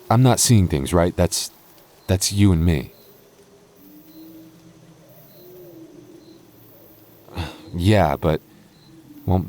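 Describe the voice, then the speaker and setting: A man performs a young boyfriend in an intimate audio roleplay. His voice sits low and soft. He stammers and hesitates as he talks, nervous yet openly affectionate toward his partner. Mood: uneasy, intrigued.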